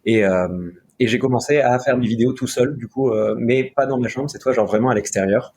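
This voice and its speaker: A man's voice: medium pitch, brisk, a little mellow, breathy edge. A young man from Marseille talking casually as an interview guest about his video work, rambling with many fillers and restarts. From France, Marseille.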